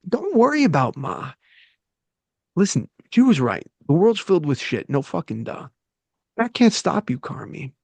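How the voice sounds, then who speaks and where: A man's voice: fairly high pitch, huge pitch swings, moderate pace, creaky, a little mellow, no room sound, voice pushed up, exaggerated voice. A man reading the part of a fired-up older brother giving a sweary, intense pep talk at an amateur table read. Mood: urgent.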